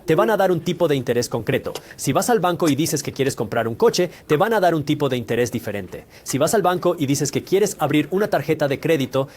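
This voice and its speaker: A man's voice: a little high, darkish tone. A man presenting a scripted educational explainer, speaking directly to the listener and laying things out step by step. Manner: didactic, explains step by step with examples. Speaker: host of a personal finance explainer. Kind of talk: scripted educational narration, addressing the viewer directly.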